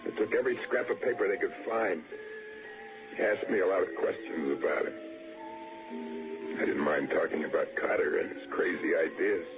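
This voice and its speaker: Actor as distraught murder suspect, old radio drama. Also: male voice, low-pitched, fairly even intonation, moderate pace, long pauses, muffled recording, music in the background, natural voice. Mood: weary, recounting. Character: distraught young man confessing to murder, pleading. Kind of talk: 1960s radio drama acting. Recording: old 1960s radio broadcast, band-limited.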